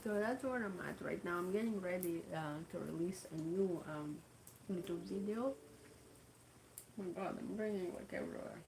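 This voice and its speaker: Female livestream host, Russian accent, lowish gravelly voice, halting and filler-heavy, noisy street sounds behind. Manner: chatty, halting, full of fillers like "um" and "like". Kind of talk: casual livestream chat.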